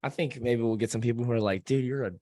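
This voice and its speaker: A man hosting a casual podcast, a bit hoarse from screaming, who laughs a lot, swears freely and jokes around. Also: mid-range voice, steady tone, fast, warm tone. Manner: laughs often, swears freely, loose joking delivery. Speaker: podcast host.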